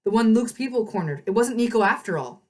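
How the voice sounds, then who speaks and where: A woman's voice: fairly high pitch, expressive, fast, pitched above the performer's own voice. A woman reading the part of an earnest teenage boy hero in a storybook, casual with some sarcasm.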